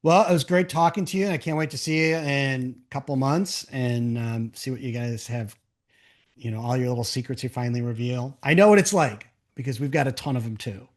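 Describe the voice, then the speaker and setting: A man's voice: medium pitch, a little fast. A man hosting a casual interview, riffing enthusiastically in rambling thoughts and often checking in with the listener. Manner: thinks aloud in rambling, enthusiastic riffs, often checking with "right?". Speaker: podcast host and interviewer.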